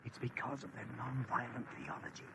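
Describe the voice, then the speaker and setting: A man playing an authoritative king and father in an audio drama, formal, measured and commanding. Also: mid-range voice, animated, creaky, background noise. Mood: firm.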